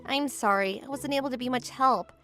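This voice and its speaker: Casting: woman as tsundere teenage childhood friend, high voice, expressive, huffy, manga dub romcom. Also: a little fast, faint music behind. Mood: persuading. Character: Yuri, tsundere teenage girl, jealous childhood friend in denial.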